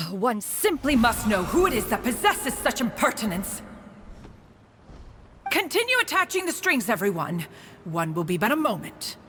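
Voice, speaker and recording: A woman's voice: fairly high pitch, very animated, a little slow, a bit bright, slight background noise. A woman voicing a haughty, imperious immortal mentor in a video game, with archaic, formal diction. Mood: indignant. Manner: archaic, formal, refers to self as "one".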